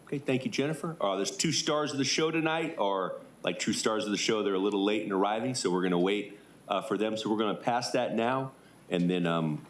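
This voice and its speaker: Fast-talking man in an echoey room. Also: fairly low pitch. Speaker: mayor chairing a council meeting. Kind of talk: informal meeting chairing. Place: indoor council chamber. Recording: echoey meeting room, faint background noise.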